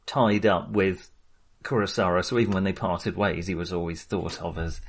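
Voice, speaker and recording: A man's voice: deep voice, steady tone, normal speed, husky. A man talking casually as a podcast host discussing films, enthusiastic but hesitant and rambling as he goes.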